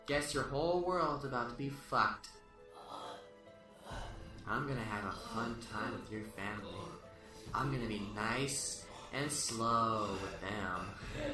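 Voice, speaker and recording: Man playing a sadistic killer, echoey and animated. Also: medium pitch, distant mic, natural voice. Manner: taunting, menacing, mocking. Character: sadistic, gloating male serial killer taunting his victims. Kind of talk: amateur radio play acting. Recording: echoey, off-mic, music underneath.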